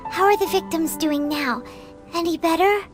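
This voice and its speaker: A squeaky, bubbly fairy companion. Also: female voice, moderate pace. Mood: chirpy. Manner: high squeaky, bouncy, refers to herself by name. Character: tiny chatty female floating companion, excitable. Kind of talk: game cutscene voice acting. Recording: game audio with soft background music.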